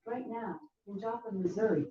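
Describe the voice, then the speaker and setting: A woman's voice: medium pitch, normal speed, warm tone. A synthetic woman's voice from a smart speaker, giving short factual answers. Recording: muffled, echoey smart speaker in room.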